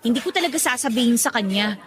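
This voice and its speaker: Burdened young breadwinner gal in a radio drama, really high voice, chatty and earnest. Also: female voice, expressive, fast talker, a bit bright. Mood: weary, resentful. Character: burdened young working woman, dutiful breadwinner daughter. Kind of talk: Filipino radio drama acting.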